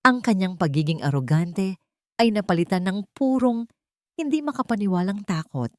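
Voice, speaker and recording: A woman's voice: medium pitch, lively intonation, normal speed, dry recording, clean studio sound. A woman with a Filipino accent, narrating a dramatic scripted audiobook story.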